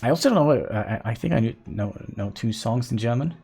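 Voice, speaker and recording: A man's voice: mid-range voice, expressive, fairly quick, gravelly, warm tone, breathy edge, soft background music. A man from Norway, chatting casually to his live-stream viewers while he plays, laughing often. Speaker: game streamer.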